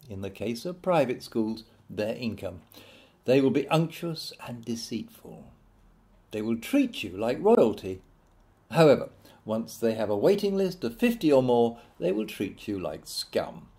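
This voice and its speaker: A British man narrates a satirical audiobook in a mellow, unhurried voice. His pitch swings widely as he leans on key words and leaves deliberate pauses. The delivery is wry and theatrical. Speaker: author reading own book.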